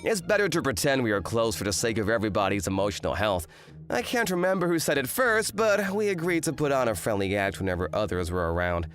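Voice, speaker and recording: A man's voice: mid-range voice, sing-song, quick pace, a bit bright, soft background music. A man playing an exasperated eighteen-year-old student in a manga rom-com dub, complaining and narrating with indignant drama. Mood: wry narrating. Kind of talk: manga dub acting and first-person narration.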